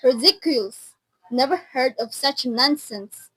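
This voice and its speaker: A woman's voice: sits high, measured pace, very noisy. A young woman in her teens playing an imperious, anxious married lady in an amateur radio drama, insistent and indignant. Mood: exasperated. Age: teenager.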